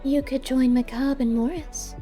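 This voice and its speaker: A woman voicing a cool, curious female cat who speaks in a measured, skeptical manner in an audiobook. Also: sits high, steady tone, dark tone, soft background music, pitched above the performer's own voice, natural voice. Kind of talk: audiobook character voice.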